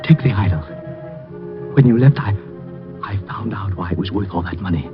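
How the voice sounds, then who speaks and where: A man's voice: low-pitched, expressive. An actor playing a frightened, jumpy little man who pleads desperately in old radio drama style. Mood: dying, gasping. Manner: agitated, halting, pleading. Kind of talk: 1940s-50s radio drama acting. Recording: dull vintage radio recording, loud music.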